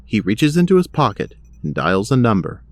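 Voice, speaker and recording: A man's voice: on the low side, very animated, normal speed, no room sound. A man narrating a superhero audio drama in a scripted storytelling style. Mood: measured. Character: male narrator of a superhero adventure.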